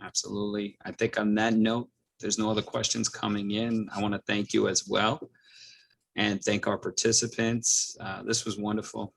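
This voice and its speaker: Low, gravelly man moderating questions. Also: level tone, normal speed, a little hiss or hum, low-quality recording. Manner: polite, reads questions aloud. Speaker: library event moderator. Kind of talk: reading audience questions. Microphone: video call.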